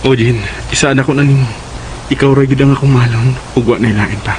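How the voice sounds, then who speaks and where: A man playing an earnest, gentle young suitor in Cebuano radio drama, with a Cebuano accent. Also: medium pitch, fairly even intonation, fast talker, background noise, close to an everyday voice. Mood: reassuring.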